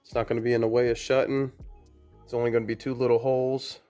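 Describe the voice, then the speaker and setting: A man's voice: medium pitch, level tone, fast talker, mellow, faint music behind. A man giving a casual hands-on tutorial, rambling a little as he thinks aloud while working. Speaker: DIY car audio vlogger.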